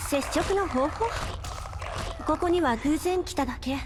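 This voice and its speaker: A woman plays a resolute heroine in an anime-style game voice. She is high-pitched and cool, keeping her replies short and controlled. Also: a little fast, music in the background, close to an everyday voice. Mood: guarded.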